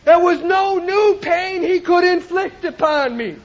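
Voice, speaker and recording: A man giving an impassioned personal testimony at a recovery meeting, often shouting for emphasis. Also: very high voice, a little slow, lo-fi sound. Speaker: recovery speaker.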